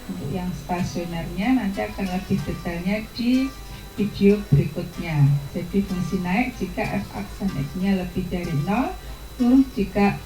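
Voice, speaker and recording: A woman's voice: mid-range voice, dark tone, echoey room, low-quality recording. A woman explaining a math lesson to students step by step, in a patient teaching manner.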